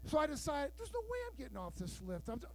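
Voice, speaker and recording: A man in his fifties performing a stand-up comedy set, telling loud, bawdy stories and acting out the dialogue. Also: extremely high pitch, huge pitch swings, fast. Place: live show room with audience.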